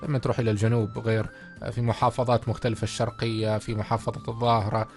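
Quick Omani man chatting on radio. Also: fairly low pitch, level tone, soft background music. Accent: Omani Arabic. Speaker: radio show co-host. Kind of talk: casual radio chat.

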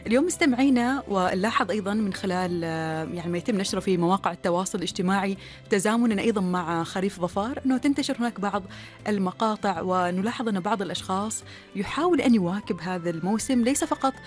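Omani woman radio co-host, quick casual chat, soft music underneath. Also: mid-range voice. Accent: Omani Arabic.

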